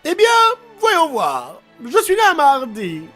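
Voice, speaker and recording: A performer voicing a theatrical, grandiose chaos spirit in an animated cartoon dub, mocking and self-pitying, bitter and wounded. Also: high-pitched, slow pace, faint music behind, full character voice. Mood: musing.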